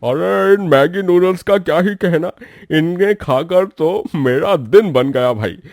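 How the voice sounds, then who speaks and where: A man's voice: medium pitch, very animated, a little slow, darkish tone, dry recording, clean studio sound. A man voicing a cheerful customer in a cartoon, gushing with praise in a raised voice. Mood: delighted. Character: delighted customer, effusive and cheerful.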